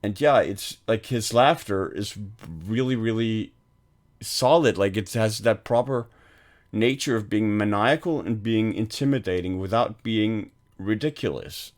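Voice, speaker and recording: A man's voice: on the low side, unhurried. A man co-hosting a film podcast, talking casually and rambling, with frequent "like" and "um" fillers. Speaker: podcast co-host.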